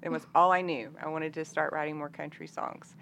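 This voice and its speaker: A woman's voice: deep voice, steady tone, brisk, a bit bright, a little hiss or hum, rough recording. A woman, speaking casually as a singer-songwriter being interviewed. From Arkansas, United States. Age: 50s.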